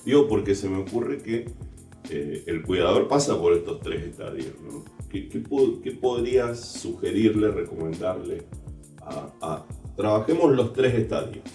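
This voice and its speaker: Mellow Argentine man interviewer, roomy and distant. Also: low voice, lively intonation, unhurried. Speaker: interviewer, podcast host. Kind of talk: conversational interview. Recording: roomy, distant mic, music behind. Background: music.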